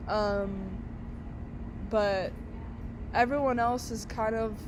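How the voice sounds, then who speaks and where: A woman's voice: fairly high pitch, fairly even intonation, slow. Young woman, casual rambling interviewee, outdoor traffic noise. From Brazil. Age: young adult. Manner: chatty, many fillers and restarts.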